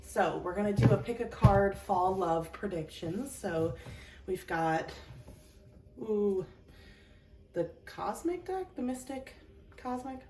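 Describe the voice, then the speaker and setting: A young woman reads tarot cards in a casual, self-deprecating way, slightly under the weather with a scratchy throat. Her voice carries some room echo and sits a little back from the microphone, with clear background noise throughout. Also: medium pitch, animated, slow pace, long pauses, a little mellow. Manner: chatty, self-deprecating, laughs often. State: slightly sick with an itchy throat and chest cough. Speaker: tarot reader. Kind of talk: casual tarot reading to camera. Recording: roomy echo, mic at a distance, noisy.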